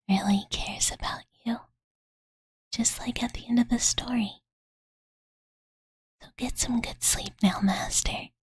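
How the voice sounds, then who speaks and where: A very breathy, whispered voice of a cozy young dragon girl, acted out by a woman in an ASMR bedtime roleplay. She speaks slowly and close to the mic, casual and cutesy, doting on her listener with a possessive fondness. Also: medium pitch, darkish tone, no echo. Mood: tender, soothing. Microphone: close studio condenser mic.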